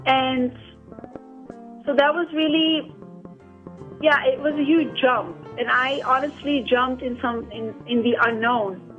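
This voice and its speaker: Woman, from the Netherlands, radio guest, hesitant, fairly high pitch, dull audio. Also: steady tone, slow pace, long pauses, soft background music. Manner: hesitant, many ums and yeahs. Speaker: guest filmmaker. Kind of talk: radio interview.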